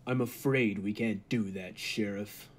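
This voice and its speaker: A man playing an earnest laboratory assistant in a 1940s-style radio drama, formal and measured. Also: on the low side, fairly even intonation, husky. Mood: calm, reassuring. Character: earnest, calm male laboratory assistant scientist.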